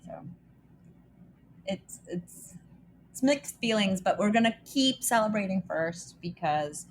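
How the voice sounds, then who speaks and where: A woman's voice: medium pitch, expressive, takes it slowly, a little hiss or hum. A woman from El Salvador co-hosting a podcast in a casual, chatty way, reading short news items and reacting to them. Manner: upbeat, chatty, reads news items aloud. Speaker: podcast co-host.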